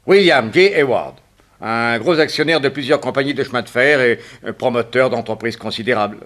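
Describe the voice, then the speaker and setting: A man's voice: mid-range voice, brisk, a bit bright, close to an everyday voice. An actor playing an anxious, deferential businessman in a French radio drama, polite, earnest and a little flustered.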